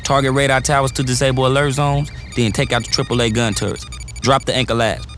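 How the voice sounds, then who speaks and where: A man's voice: medium pitch, fairly even intonation, fast, a bit bright, close to an everyday voice. A man playing a wisecracking tactical adviser over music. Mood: wry. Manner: casual slangy banter. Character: wisecracking male tactical adviser, casual, street-talking. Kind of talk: game cutscene briefing. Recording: music bed under the voice.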